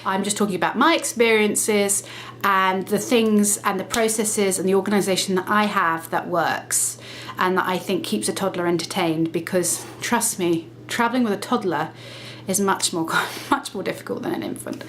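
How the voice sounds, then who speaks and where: Woman vlogger, mid-pitched, conversational, slightly nasal with a cold, faint background hiss. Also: fairly even intonation, fairly bright tone. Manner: chatty, self-correcting, brisk explaining. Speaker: lifestyle vlogger. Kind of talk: casual talk to camera.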